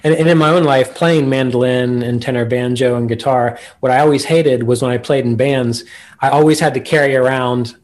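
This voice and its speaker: A man's voice: medium pitch, steady tone, fairly quick, darkish tone. A man hosting a casual music interview, affirming and reflective as he talks. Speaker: podcast host.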